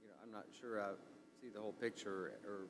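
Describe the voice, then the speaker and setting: A man speaking as a commission member in a meeting discussion, tentative and measured. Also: steady tone. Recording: reverberant hall with rumbling noise.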